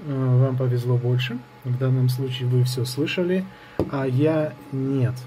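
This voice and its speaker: A man's voice: medium pitch, steady tone, unhurried. A man giving a casual hands-on product review, improvising hesitantly and thinking aloud as he goes. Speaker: gadget reviewer. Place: indoors at a table in an apartment. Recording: clear background noise on home recording.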